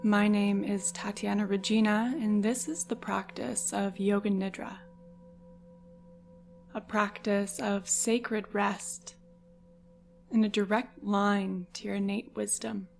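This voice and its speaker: A woman guides a meditation in a soft, soothing, unhurried voice. Her medium-pitched delivery stays very even, with some creak and breathy endings to her phrases. Clear ambient singing bowl tones sit behind her. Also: slight background noise. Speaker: yoga nidra meditation guide.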